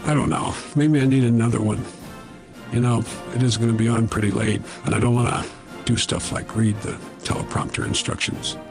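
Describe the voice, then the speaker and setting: A man's voice: mid-range voice, fairly even intonation, brisk, over music, natural voice. A man playing a doddering old president in a comedy sketch, muttering fretfully to himself about his big night. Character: doddering old president fretting over his farewell speech.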